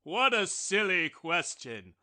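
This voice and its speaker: A man reading a storybook aloud voices a boastful, self-important male wishbone who lectures the other kitchen objects in a loud, grand way. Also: sits high, sing-song, takes it slowly, pitched below the performer's own voice, full character voice. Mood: pompous.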